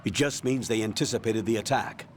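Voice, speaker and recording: An actor playing a stern colonel who gives orders over the radio, speaking in a firm, calm and commanding way in a video game cutscene. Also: male voice, lowish voice, fairly quick, slight background noise, close to an everyday voice.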